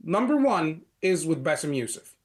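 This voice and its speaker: Egyptian-born man, fairly high voice. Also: very animated, moderate pace. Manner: talks in long runs with many "you know" fillers and restarts. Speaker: guest, security analyst. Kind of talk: interview answers.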